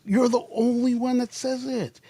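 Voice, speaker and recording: A man's voice: extremely high pitch, little pitch movement, normal speed, mellow, no echo. A man co-hosting a casual podcast, joking around and asking questions about gear. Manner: joking, teasing, asks questions. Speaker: podcast co-host. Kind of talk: casual chat.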